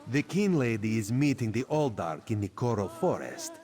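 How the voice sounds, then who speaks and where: An actor playing a sly catlike spy in a video game, playfully secretive and conspiratorial, talking about himself in the third person. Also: male voice, medium pitch, very animated, moderate pace, warm tone, soft background music, natural voice. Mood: wry, suspicious. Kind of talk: video game character acting.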